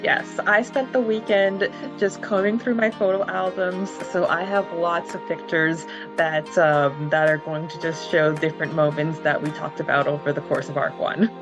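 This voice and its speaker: Low-voiced, measured woman podcast co-host. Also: fairly even intonation, music in the background. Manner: measured and gracious, announcing segments. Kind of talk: casual podcast chat. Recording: slightly dull, muffled recording.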